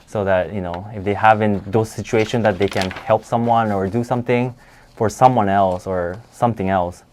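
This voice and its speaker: A man explaining things informally as a guest educator, in halting, phrasing. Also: on the low side, steady tone, darkish tone, slight background noise. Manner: halting, non-native phrasing.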